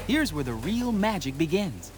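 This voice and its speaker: Guy narrating a kids tv segment, kinda high voice, really animated, playful script, noisy background. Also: normal speed, over music.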